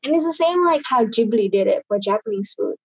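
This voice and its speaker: A woman's voice: on the high side, expressive, a little fast, a little mellow, slightly rough, dry recording, rough recording. A woman from Indonesia, a guest talking casually over a video call, rambling with many fillers and restarts.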